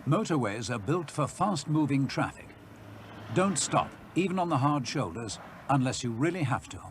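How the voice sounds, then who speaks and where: Male narrator for road safety film, mid-pitched, animated yet stern, lightly noisy old soundtrack. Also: moderate pace. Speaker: public information film narrator.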